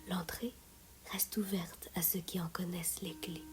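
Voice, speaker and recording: A woman reciting a meditative poem in a soft, breathy, often whispery voice, measured, with long pauses between phrases. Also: on the low side, little pitch movement. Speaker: poet reciting own poem. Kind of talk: slow meditative poetry recitation. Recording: music and singing bowls behind voice. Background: meditative music with singing bowls.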